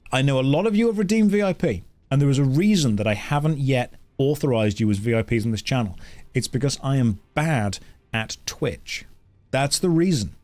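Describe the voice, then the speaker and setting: A man's voice: medium pitch, a little mellow. British male streamer, mid-range voice, casual and jokey, faint music behind. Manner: playful, wry, jokey. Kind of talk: casual livestream chat running chat polls.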